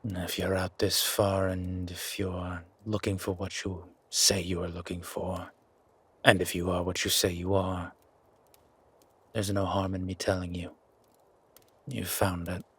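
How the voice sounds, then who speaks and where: A man playing a gruff, wary but grudgingly kind werewolf in a roleplay audio drama, with a raspy, growling, half-whispered voice and terse, brooding delivery. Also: low voice, level tone, fairly bright tone, no room sound, heavily acted. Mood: calm, confiding. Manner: slow, brooding, terse commands.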